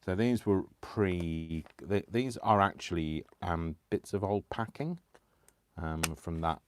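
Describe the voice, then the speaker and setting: A man hosting a live crafting stream, chatting casually and poking fun at himself, tired and clearing his throat. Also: deep voice, slow, noisy background. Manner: chatty, self-deprecating, talks to himself. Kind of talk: rambling casual chat while crafting.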